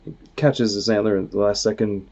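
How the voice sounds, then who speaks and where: A man's voice: on the low side, a little fast, gravelly, darkish tone. A man reading a comic story aloud in a casual way, acting out the dialogue and noises. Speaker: author reading their own comic aloud. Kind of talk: animated storybook read-aloud with sound effects. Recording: dull, muffled lo-fi sound.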